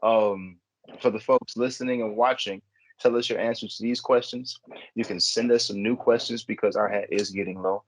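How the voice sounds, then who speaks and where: A man's voice: fairly low pitch, fairly even intonation, normal speed, a little hiss or hum, low-quality recording. A man hosting a podcast in a casual, conversational style. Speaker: podcast host. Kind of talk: casual chat.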